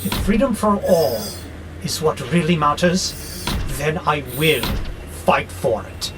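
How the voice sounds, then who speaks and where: A man's voice: on the high side, animated. A man acting in an audio drama as an arrogant, frustrated warehouse robot plotting war, with a grandiose, exasperated delivery. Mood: defiant. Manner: grandiose, slow and theatrical, exasperated. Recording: clearly noisy background hum.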